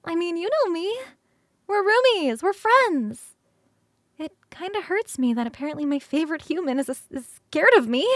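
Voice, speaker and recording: A woman performing an ASMR roleplay as a playful, affectionate succubus roommate who talks straight to the listener in a coaxing, halting, expressive way. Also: very high-pitched, dry recording, clean studio sound. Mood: hurt, puzzled. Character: affectionate, playful succubus roommate, young-sounding, eager to cuddle. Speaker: streamer performing scripted roleplay.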